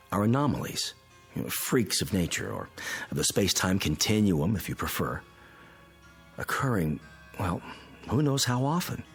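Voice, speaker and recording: An actor playing an analytical scientist friend in a radio drama, explaining strange phenomena in a deliberate, instructing manner. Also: male voice, low-pitched, a bit bright, breathy edge, exaggerated voice. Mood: musing, methodical. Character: calm analytical male physicist friend explaining a mystery. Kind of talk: modern radio drama acting.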